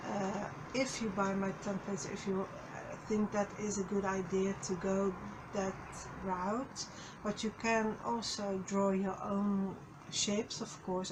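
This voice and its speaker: Slow, halting woman at a craft desk. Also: mid-range voice, background noise. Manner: slow, halting, many fillers. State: a little hoarse. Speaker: craft channel host. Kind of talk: casual craft tutorial. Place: craft desk, overhead camera.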